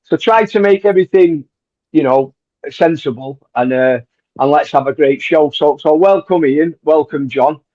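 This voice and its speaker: A man's voice: fairly high pitch, moderate pace, darkish tone. A man hosting a podcast, talking casually with lots of hesitations and repeated words. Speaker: podcast host. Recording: dull lo-fi audio.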